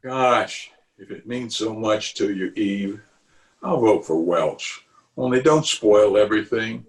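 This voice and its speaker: A man's voice: lowish voice, takes it slowly. A man playing a pompous, self-justifying middle-aged uncle in an old-time radio comedy, with a blustery, chuckling manner. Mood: pleading. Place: recorded remotely over a video call.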